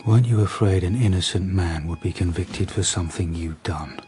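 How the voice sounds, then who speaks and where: An actor playing a reserved, methodical and quietly humane police detective in a radio drama, speaking in a terse, understated way. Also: male voice, low-pitched, moderate pace, slight background noise, close to an everyday voice. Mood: quiet probing.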